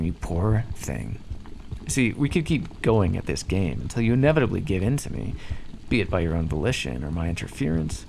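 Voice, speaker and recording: A man playing a calm, condescending vampire captor in an ASMR roleplay, teasing and coaxing as he talks. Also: fairly low pitch, vocal fry, slight background noise. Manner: teasing, patronizing, coaxing, hesitant stammers. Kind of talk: ASMR roleplay, scripted monologue.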